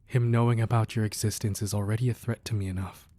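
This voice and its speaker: A deep, mellow male actor playing a crime boss husband in a romantic audio roleplay. He is soft and breathy, measured and firm, a ruthless man who turns protective and affectionate with the woman he loves. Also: level tone, close to an everyday voice. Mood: worried, tender. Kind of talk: intimate romantic ASMR roleplay acting.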